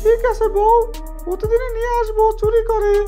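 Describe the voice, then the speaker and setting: A woman's voice: very high-pitched, little pitch movement, fairly quick, mellow. A woman voicing a sly, greedy crow husband in a children's cartoon. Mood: sly, pleased. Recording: music behind the voice.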